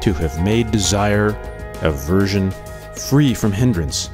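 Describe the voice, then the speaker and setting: Man, low voice, measured deliberate reader, dramatic radio monologue style, clear music behind. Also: lively intonation. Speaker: audiobook reader. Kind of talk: scripted dramatic reading of philosophy over music.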